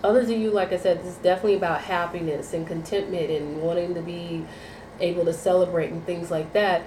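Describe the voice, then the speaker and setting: A woman's voice: lowish voice, fairly even intonation, quick pace. A woman with a cold giving a tarot reading to viewers, sounding nasal and rambling through repeated phrases and fillers. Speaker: tarot reader. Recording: echoey room, faint background noise.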